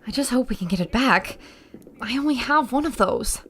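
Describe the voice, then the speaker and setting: Woman as a lively adventurer heroine. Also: fairly high pitch, moderate pace, natural voice. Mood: alert. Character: resourceful, quick-thinking adventurer heroine. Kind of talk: old-time radio adventure acting.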